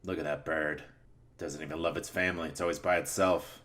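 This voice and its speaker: A man's voice: very deep voice, steady tone, brisk, fairly bright tone. A man doing a tongue-in-cheek, mock-earnest comedic sponsor read for a podcast. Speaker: host reading sponsor ad.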